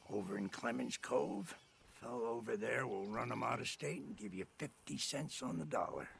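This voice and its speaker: An actor playing a suspicious, bitter older family patriarch in a game, with a very creaky voice and a gruff, contemptuous manner. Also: male voice, medium pitch, animated, moderate pace, fairly bright tone, a little hiss or hum. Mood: conspiratorial. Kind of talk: dramatic game cutscene acting.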